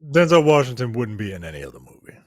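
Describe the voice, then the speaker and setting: A man's voice: mid-range voice, sing-song, fast. A man co-hosting a podcast in casual chat, emphatic and swearing, repeating his points to drive them home. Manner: emphatic, repeats himself, profane. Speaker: podcast co-host.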